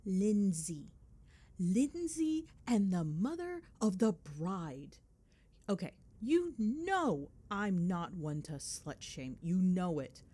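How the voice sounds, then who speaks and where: A woman's voice: mid-range voice, sing-song, slow, mellow. A woman playing a chatty, gossipy girlfriend in a casual ASMR phone-call roleplay, with playful, sweary, dramatic griping. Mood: exasperated, amused. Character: chatty, gossipy girlfriend venting on the drive home.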